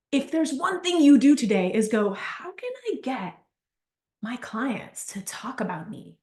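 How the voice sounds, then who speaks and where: A woman's voice: fairly high pitch, echoey room. A woman giving a live business workshop, telling chatty stories with lots of asides. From Argentina. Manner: animated, chatty, storytelling with asides. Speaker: business coach.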